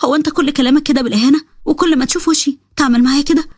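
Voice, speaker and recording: A woman's voice: high-pitched, racing, a little mellow, no echo, studio quality, pitched above the performer's own voice, close to an everyday voice. A woman with an Egyptian accent voicing a hurt, downtrodden young wife who talks in a pleading, complaining way.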